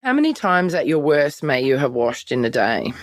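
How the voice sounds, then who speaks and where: A woman with a notably low voice conducts a conversational podcast interview. She is responsive and encouraging as she asks questions. The recording is dry and close. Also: very animated, moderate pace, no room sound.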